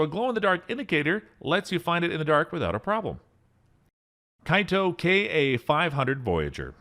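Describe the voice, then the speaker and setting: A man narrating a scripted voice-over rundown of emergency radios, reading spec lists at a steady pace and lifting his voice on each product name. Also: lowish voice.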